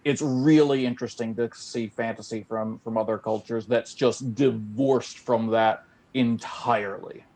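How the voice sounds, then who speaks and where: A man's voice: medium pitch, unhurried, few pauses. Chatty Newfoundland man hosting. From Newfoundland, Canada. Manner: rambling, many restarts and fillers, animated when riled. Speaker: podcast host. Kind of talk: casual conversational interview.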